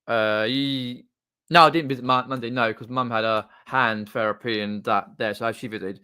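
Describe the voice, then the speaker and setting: A man chatting casually on a livestream in a rambling, halting way, often trailing off mid-sentence. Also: mid-range voice, fairly even intonation, pushed and bright, smooth. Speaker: live streamer and video channel host. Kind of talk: casual unscripted livestream chat. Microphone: desktop condenser microphone.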